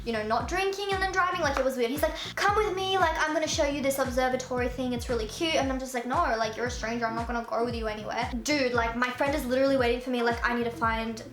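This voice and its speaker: High-voiced chatty young woman. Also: quick pace, few pauses, a bit bright, reverberant, soft background music. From Russia. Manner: chatty, fills speech with "like", jumps high for quoted voices. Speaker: vlogger. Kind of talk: casual storytime chat.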